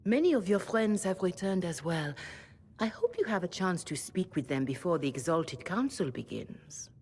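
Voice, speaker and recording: A woman playing a gracious, devout older cleric in a video game, speaking formally with measured courtesy and gentle frankness. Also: on the low side, very animated, a little hiss or hum. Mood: composed, sincere.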